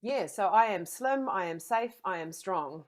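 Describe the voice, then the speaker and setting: Earnest, energetic woman guest. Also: medium pitch, unhurried. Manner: earnest, energetic, repeats phrases. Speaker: interview guest. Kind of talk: candid conversational storytelling.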